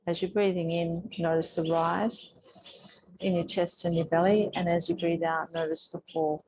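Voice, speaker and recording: A woman teaching a yoga class, giving soothing, unhurried guidance with pauses between instructions. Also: lowish voice, darkish tone. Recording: dull, muffled lo-fi sound.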